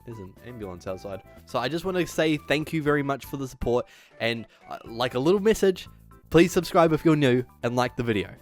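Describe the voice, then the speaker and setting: A man's voice: mid-range voice, expressive, fairly quick, faint music behind. A man hosting a video essay, reading a script with emphatic delivery and dropping in casual asides.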